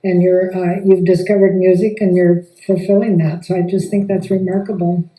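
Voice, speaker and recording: A woman's voice: on the low side, level tone, normal speed, dark tone. A woman interviewing in an earnest, encouraging manner. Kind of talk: interview questions and encouraging commentary. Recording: echoey room, distant mic.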